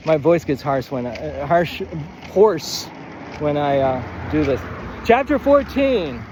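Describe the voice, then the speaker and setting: Guy with a kinda high voice doing a rambly writing lesson, stammers and goes off track, outdoor street noise behind him. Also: expressive. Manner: halting, stammering, digressive, thinking aloud. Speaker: writing coach, presenter of a video series. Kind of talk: rambling informal lesson to camera.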